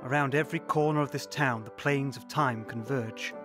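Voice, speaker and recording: A man's voice: mid-range voice, moderate pace, lightly acted. An actor in his sixties narrating as an older man recalling his wartime boyhood, reflective and wistful. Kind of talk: scripted narration. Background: music.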